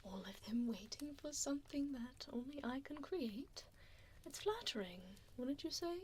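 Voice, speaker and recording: Casting: cruel aristocratic female vampire played by a woman, higher-pitched breathy whisper, unhurried condescension, close-miked ASMR roleplay. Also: lively intonation, a little hiss or hum. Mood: smug, haughty. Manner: condescending, slow, formal diction. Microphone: close binaural microphone.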